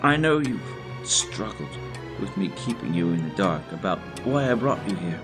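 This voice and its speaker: A man's voice: sits low, big pitch swings, normal speed, over music. A man playing an earnest, resolute leader in an audio drama.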